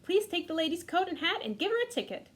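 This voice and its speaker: A woman reading aloud and voicing Ruby, an excited, bossy little girl bunny from a storybook. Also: extremely high pitch, fast, slight background noise, voice pushed up. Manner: bright, bossy, eager.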